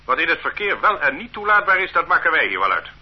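An actor playing a stern, condescending police officer who lectures a detainee in an authoritarian way in a radio drama. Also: male voice, fairly high pitch, big pitch swings, fast talker, noisy background. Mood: curt, commanding. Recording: muffled old radio play recording.

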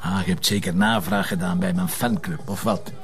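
Grumpy flemish police boss, sarcastic n curt, gravelly low-ish voice, tv cop show. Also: male voice, steady tone, moderate pace, soft background music. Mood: irritated, sarcastic. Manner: curt, sardonic, dismissive. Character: grumpy, sarcastic police commissioner drinking whisky in a bar. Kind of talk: naturalistic TV police drama acting.

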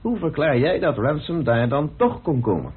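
Probing formal gentleman, radio drama, animated pitch, husky, thin phone-like recording. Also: moderate pace, heavily acted. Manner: precise, formal, interrogating. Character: probing, formal British man questioning his old acquaintances. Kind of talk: radio play acting.

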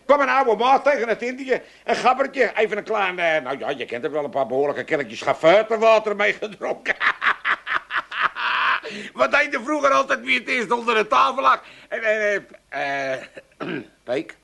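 An actor playing a talkative, boastful older shopkeeper with an Amsterdam accent in a radio play, rambling on in chattering sales patter. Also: male voice, sits high, very animated, moderate pace, vocal fry, sharp tone, exaggerated voice. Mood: rambling, apologetic. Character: talkative, boastful old male shopkeeper, a bit tipsy.

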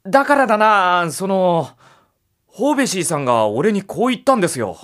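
A woman's voice: fairly low pitch, big pitch swings, a little fast. A woman playing an easygoing, awkward young man in an anime-style audio drama, talking in a casual, hesitant and apologetic way. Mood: coaxing. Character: easygoing, awkward young male master of the mansion.

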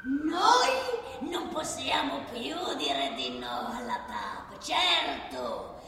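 Casting: woman as gloating crooked politician, radio drama, sharp creaky voice, oily and mocking, heard distant in a reverberant hall. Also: high voice, huge pitch swings, extremely slow, exaggerated voice. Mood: smug, gloating. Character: cynical, gloating female politician making shifting, hollow promises. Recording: very echoey hall, distant, faint noise.

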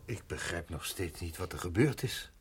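A man's voice: deep voice, lively intonation, moderate pace, over music. A man playing a puzzled, probing party guest in a radio drama.

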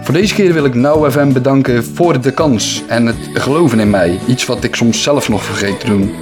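A man's voice: medium pitch, moderate pace. Man hosting a sign-off over music. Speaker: podcast host. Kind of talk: reflective sign-off monologue.

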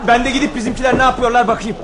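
An echoey radio play recording with a crowd behind a railway underling. The voice is high and quick, flustered and deferential. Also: male voice, level tone. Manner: hesitant, deferential. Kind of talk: Turkish radio drama acting.

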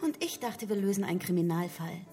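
A woman acting as a cheeky agency secretary in a radio play. She is plain-spoken and questioning, with a pert manner and a medium-pitched voice. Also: lively intonation, a little fast, dark tone, faint music behind, close to an everyday voice. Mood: challenging. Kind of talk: radio crime drama dialogue.

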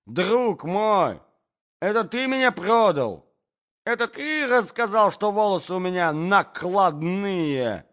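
A man's voice: high voice, animated, slow, fairly bright tone, dull audio, voice pushed up, exaggerated voice. A man voicing a bewildered, vain old prince in a storybook reading, muddled and plaintive, with affected aristocratic manners. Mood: plaintive distress. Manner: muddled, plaintive, lingering on words, affected French phrases.

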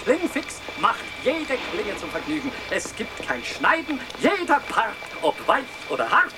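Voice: medium pitch, wildly swooping pitch, unhurried. An actor playing a street hawker who touts razor blade sharpeners in rhyming, sing-song patter in a radio drama. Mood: showy, gleeful. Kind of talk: 1970s German radio drama acting. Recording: loud music over street traffic noise.

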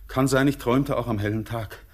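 An actor playing a haunted, yearning young stranger in a classic radio drama, declaiming poetic in a solemn, intense, husky voice. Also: male voice, medium pitch, fairly even intonation, fairly quick, darkish tone, natural voice. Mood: urgent, pleading.

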